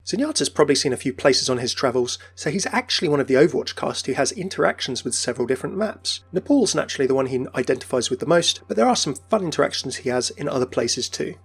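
A man's voice: medium pitch, expressive, fast, few pauses, breathy edge. A man presenting scripted narration as a video host, in an explanatory style. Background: background music.

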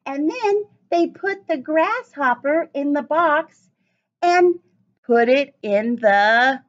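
Female primary teacher, high and very animated, storytime read-aloud for little kids, sing-song emphasis. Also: slow pace, lo-fi sound. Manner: sing-song, exaggerated emphasis, addressing children directly. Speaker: elementary school teacher. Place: small indoor classroom with cabinets and whiteboard.

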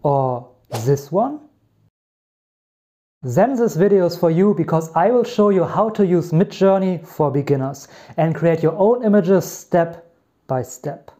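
A man in his thirties from Germany with a German accent, walking beginners through a software setup step by step in a friendly way. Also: a little high, lively intonation, measured pace. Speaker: tech tutorial host. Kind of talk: screen-recorded software tutorial.

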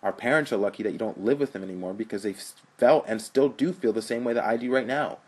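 Man reading as earnest lecturing young brother, husky, low-pitched. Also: fast talker, darkish tone. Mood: pleading. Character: earnest young college-bound brother, judgmental.

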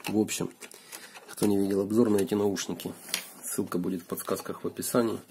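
A man with a hoarse voice from a cold, casually thinking aloud with fillers as he takes apart a gadget at his desk. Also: fairly low pitch, flat delivery, a little fast, background noise. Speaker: video blogger. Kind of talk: casual hands-on gadget teardown. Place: indoors at a wooden desk.

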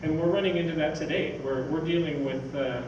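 A man's voice: on the high side, a little fast, highs cut off. Older man, echoey distant stage talk. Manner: rambling, anecdotal. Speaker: speaker, science fiction writer. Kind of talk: informal stage talk answering audience questions. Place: on stage in an event hall. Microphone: far camera microphone. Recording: echoey hall from far off, noisy.

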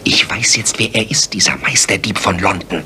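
An actor playing an anxious, loyal gentleman doctor in a radio play, with an earnest, conspiratorial manner. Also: sits low, normal speed, in a whisper. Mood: grave, conspiratorial. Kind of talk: German radio play acting.

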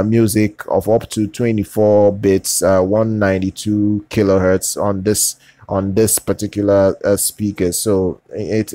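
A man presenting unscripted product news as a channel host, in a halting way that often repeats and restarts phrases. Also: fairly low pitch, warm tone, no echo. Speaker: tech reviewer, channel host.

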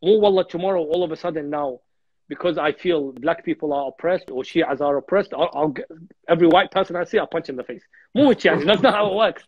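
A man's voice: fairly high pitch, a little fast, husky, no echo, highs cut off. A man in his thirties from Iraq, a guest speaker in a religious discussion on a video call, earnest and repeating words as he thinks. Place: home room on a video call.

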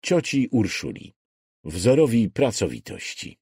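A man narrating an audiobook in a measured, deliberate way with dramatic literary phrasing. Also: mid-range voice, huge pitch swings, darkish tone, breathy edge, no echo, clean studio sound.

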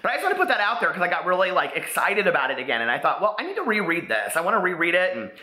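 A man in his forties with an American accent, chatting casually to camera in an excitable, rambling way with lots of "like". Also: high voice, rapid-fire, slight background noise. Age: 40s. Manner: excitable, rambling, peppers talk with "like". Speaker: book vlogger. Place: living room, indoors. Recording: echoey living room.

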